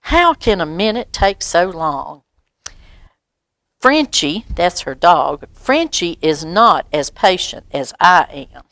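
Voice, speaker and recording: A woman's voice: on the low side, a bit bright, dry recording. An American woman reading a children's picture book aloud, drawing words out expressively and adding little explanatory asides for young listeners. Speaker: library storytime reader.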